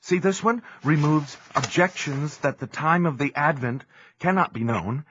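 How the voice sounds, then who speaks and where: An actor playing an earnest, devout young preacher in an audio drama, with some narration. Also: male voice, a little high, normal speed, fairly bright tone, a little hiss or hum, close to an everyday voice. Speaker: narrator and lead character. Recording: dull lo-fi audio with music.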